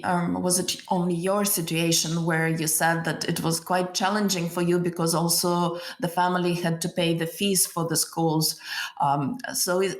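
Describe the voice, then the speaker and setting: A woman's voice: on the low side, echoey room. A woman hosting a podcast interview, polite and hesitant, asking questions with many fillers. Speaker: podcast host. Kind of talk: conversational interview.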